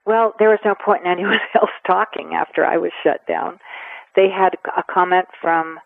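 A woman in her seventies giving a radio interview, talking earnestly and with emphasis, pausing to weigh her words. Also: lowish voice, fairly even intonation, gravelly, no echo. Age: 70s. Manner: earnest and emphatic, with measured pauses. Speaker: conservation advocate guest. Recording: tinny phone line, dry.

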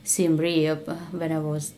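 A young woman from Cambodia, answering interview questions in a halting way and searching for words. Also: low-pitched, flat delivery, mellow. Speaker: guest, business founder. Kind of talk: casual interview talk.

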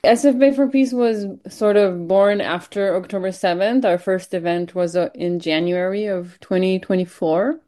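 A woman's voice: medium pitch, normal speed, hardly any pauses, dark tone. A woman born and raised in Israel, speaking earnestly as an event organizer in an interview, with frequent fillers. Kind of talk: casual interview answers.

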